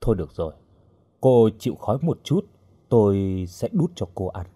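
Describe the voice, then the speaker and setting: A man voicing a cold, taciturn henchman with a hidden kindness, speaking in a gruff, curt way. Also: on the low side, very animated, normal speed, dark tone. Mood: cold, warning.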